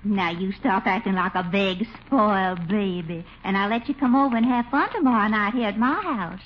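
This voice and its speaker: An actress playing a coquettish belle with a sugary, coaxing manner, in 1940s radio acting. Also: female voice, medium pitch, moderate pace, close to an everyday voice. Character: coquettish Southern belle, sweetly wheedling. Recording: dull old radio broadcast, faint hiss.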